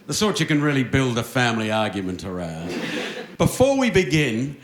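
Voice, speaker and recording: A man's voice: medium pitch, sing-song, moderate pace. A man narrating a live radio play in a wry, mock-grand, old-time wireless announcer style. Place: theatre stage with audience. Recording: roomy live theatre stage.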